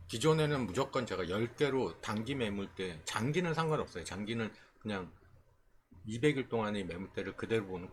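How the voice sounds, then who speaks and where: Low-voiced man teaching, very lively intonation. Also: slight background noise. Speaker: stock trading instructor. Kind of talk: informal screen tutorial, explaining chart settings.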